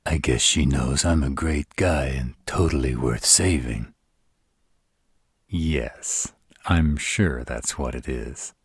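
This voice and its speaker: A man performs an arrogant vampire in an audio roleplay. His voice is extremely deep and heavily rasped. He speaks slowly and with dramatic weight, recorded dry and close. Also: no room sound, exaggerated voice. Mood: cocky. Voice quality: very deep, rough husky voice. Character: arrogant male vampires plotting, grave and cocky. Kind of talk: scripted audio roleplay acting.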